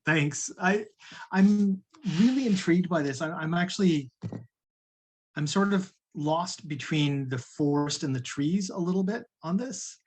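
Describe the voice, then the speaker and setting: Rambling man with a higher voice. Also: unhurried, a little mellow. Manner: rambling, apologetic. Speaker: audience member asking a question. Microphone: video call.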